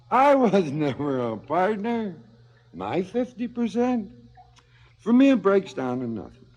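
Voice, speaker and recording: An actor playing a cynical, philosophizing hired killer in a radio drama, sardonic and theatrical. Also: male voice, a little high, dark tone, full character voice. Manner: sardonic, theatrical, swinging from muttering to outbursts. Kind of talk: 1970s radio drama acting. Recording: slightly dull 1970s radio recording.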